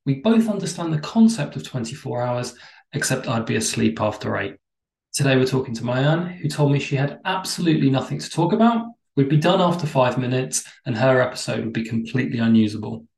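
A man hosts a podcast with a gravelly, creaky voice at a middling pitch. His humour is dry and self-deprecating. The sound is echoey, like a bare room. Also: lively intonation, brisk, a little mellow. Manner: dry, self-mocking jokes in a scripted intro. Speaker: podcast host. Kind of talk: podcast interview.

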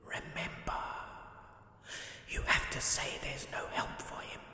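A man voicing Death as a sinister old man in a breathy, hissing whisper, calm and commanding, for a fairy tale. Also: slow, echoey room, in a large hall. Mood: instructing, ominous.

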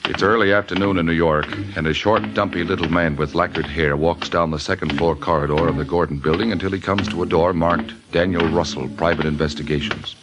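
Man playing a low-voiced suave radio detective. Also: fairly even intonation, brisk, hardly any pauses, lightly acted. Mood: wry, measured. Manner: wry, breezy, slangy. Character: suave, wisecracking male detective narrating his case. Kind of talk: 1950s radio drama acting and narration.

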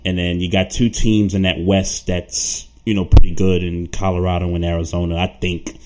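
A very deep-voiced man hosts a laid-back sports talk show. He talks loosely, repeating words and restarting as he thinks. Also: normal speed, background noise. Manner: relaxed, stammers and repeats words. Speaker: podcast co-host.

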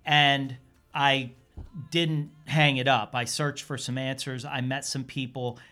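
A man's voice: mid-range voice, flat delivery, slow pace, sharp tone. A man hosting a podcast, introducing a guest in a rambling, enthusiastic way full of fillers. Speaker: podcast host.

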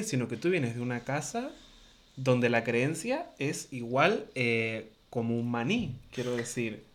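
Male co-host, mid-range pitch, casual, jokey, quick to interject in conversation. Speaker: podcast host. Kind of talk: casual chat.

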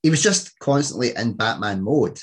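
A man in his thirties hosting a podcast, joking and bantering in casual chat. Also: mid-range voice. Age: 30s. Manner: joking, lively, quick to banter. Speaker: podcast host.

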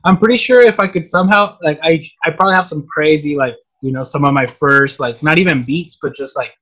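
A man in his twenties who grew up speaking Spanish talks casually as a guest. His voice is bright and sits a little high. His phrasing is loose and full of slang. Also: a little fast. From Mexicali, Mexico and San Diego. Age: 20s. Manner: slangy, says "bro" often. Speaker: guest, music producer. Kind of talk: casual chat.

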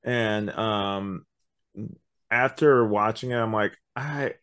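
A man's voice: lowish voice, slow pace, fairly bright tone, clear, resonant tone. A man co-hosting a podcast, chatting casually in a rambling, opinionated way and often quoting his own thoughts. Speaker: podcast co-host reviewing an audio drama. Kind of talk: casual chat.

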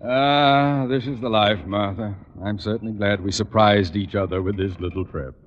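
Guy doing a stuffy blustery american school principal, deep-ish voice, deliberate, old radio sitcom w/ hissy sound. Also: long reverb tail, natural voice. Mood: contented. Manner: pompous, formal, deliberate. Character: pompous middle-aged school principal, blustery, easily exasperated husband. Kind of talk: 1940s-50s radio comedy acting. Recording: muffled, noisy old-time radio recording.

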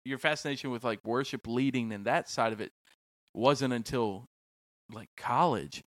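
A man's voice: medium pitch, moderate pace, no room sound. A man hosting a podcast, asking questions in a playful, bantering way. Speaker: podcast host. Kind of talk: casual interview chat.

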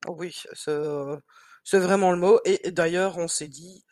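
A woman co-hosting a podcast while stuffed up with a cold, sounding nasal and a bit hoarse, talking in a hesitant way. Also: fairly low pitch, steady tone, normal speed, background noise. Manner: hesitant, many fillers and restarts, laughs easily. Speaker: podcast co-host. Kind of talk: casual podcast chat.

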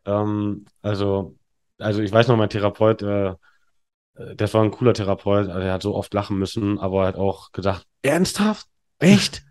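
A man's voice: lowish voice, moderate pace, a little mellow. Frank, rambling man in his thirties. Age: 30s. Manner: frank, rambling, frequent fillers and restarts. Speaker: podcast guest. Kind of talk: candid conversational storytelling.

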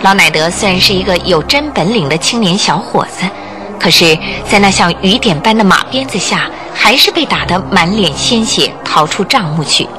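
A woman's voice: medium pitch, lively intonation, moderate pace. Female radio drama narrator over music.

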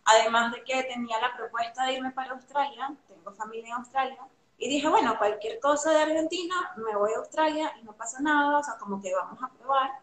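A young woman from Venezuela with a Venezuelan accent, chatting casually as an interview guest, animated and full of fillers. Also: sits high, fairly quick. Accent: Venezuelan Spanish. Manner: chatty and animated, many fillers, laughs easily. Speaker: guest artist. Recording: roomy echo.

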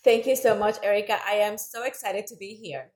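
A woman's voice: mid-range voice, a little fast, a little mellow. A woman from Costa Rica, a native Spanish speaker with a Central American accent, telling her story conversationally as a podcast guest. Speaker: podcast guest, Zumba instructor.

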